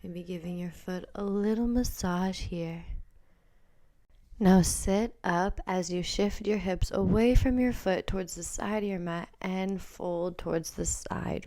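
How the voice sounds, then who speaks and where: A woman instructor guiding a yoga class with a soft, breathy, gentle delivery. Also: lowish voice.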